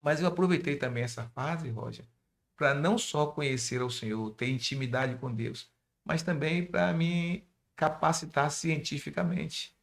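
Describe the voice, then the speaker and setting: A man's voice: on the high side, normal speed, vocal fry. A Brazilian man with a Bahian accent, a pastor and missionary telling his testimony in a church interview and speaking directly to young listeners. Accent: Bahian Portuguese. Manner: preachy, addresses youth directly.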